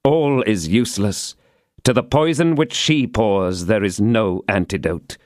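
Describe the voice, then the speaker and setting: A man voicing a grave older musketeer who speaks in a low, faltering, solemn way. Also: measured pace, darkish tone, no room sound, studio quality, natural voice.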